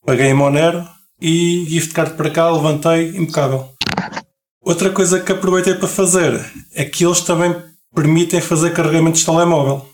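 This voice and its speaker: A man with a European Portuguese accent co-hosting a podcast in casual chat, relaxed and talkative, often restarting words. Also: on the high side, a little fast, a little hiss or hum. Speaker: podcast co-host.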